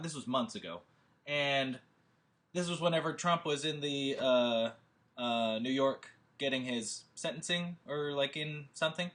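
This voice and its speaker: Man, slightly high voice, hesitant, lots of ums, casual host on a video call. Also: fairly bright tone. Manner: hesitant, many fillers and restarts. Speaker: producer and director hosting a table read. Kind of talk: casual rambling chat to camera.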